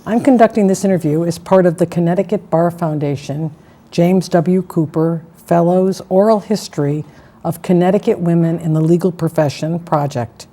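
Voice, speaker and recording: A woman interviewing a guest for an oral history, asking questions in a chatty, gently teasing way. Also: fairly low pitch, fairly even intonation, moderate pace.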